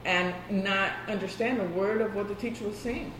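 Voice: medium pitch, fairly even intonation, normal speed, background noise. An interviewee whose first language is Spanish, thoughtfully recalling childhood memories with small restarts. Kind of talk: oral history interview. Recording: echoey room.

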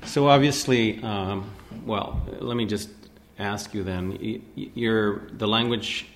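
Male interviewer, lowish voice, meandering questions, faint background noise. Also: fairly even intonation. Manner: rambling, halting questions with many restarts. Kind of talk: oral history interview.

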